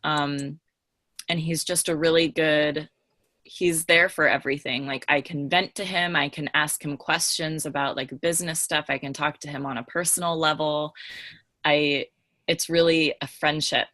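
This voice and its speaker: A woman's voice: deep voice, steady tone, normal speed, fairly bright tone, no echo. A woman chatting casually and candidly as a podcast panelist, with an emphatic, informal and gushing way of talking. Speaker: podcast panelist and book editor.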